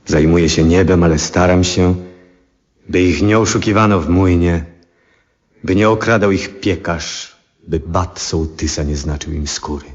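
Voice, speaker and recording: In a dull vintage radio play recording, an aging astronomer, a brooding man with compassion. His voice is extremely deep, and he delivers his monologue in an earnest, measured, reflective way. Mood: brooding, tender. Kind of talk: 1970s Polish radio drama monologue.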